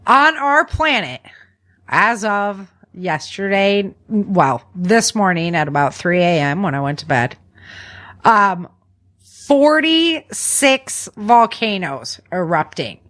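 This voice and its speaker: A woman hosting a podcast, chatting casually to listeners in an animated, folksy way with informal asides. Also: mid-range voice, slow pace. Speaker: podcast host. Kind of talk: casual news chat to listeners.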